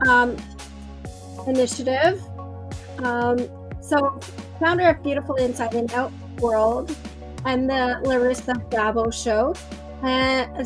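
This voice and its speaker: A woman's voice: high-pitched, a bit bright. A woman hosting a livestream interview, bubbly and effusive, with frequent ums. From Canada. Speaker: host.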